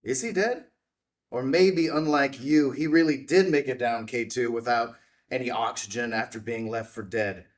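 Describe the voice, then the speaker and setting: A man playing a confrontational debunking journalist in a drama table read, pointed and deliberate in his delivery. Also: medium pitch, natural voice. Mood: accusing, sardonic. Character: confrontational male debunking journalist, sardonic and relentless. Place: indoor room at a filmed table read.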